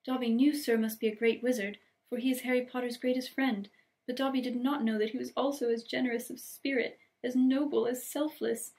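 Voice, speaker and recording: Brief: fretful, eager male elf, squeaky and broken grammar, voiced by a woman reader. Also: fairly even intonation, fairly quick, darkish tone, close to an everyday voice. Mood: delighted. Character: anxious eager male house elf, small.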